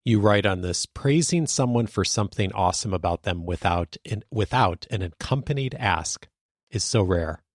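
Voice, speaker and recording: A man hosting a conversational interview podcast, speaking in a thoughtful way with measured phrasing. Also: fairly low pitch, animated, no room sound, clean studio sound.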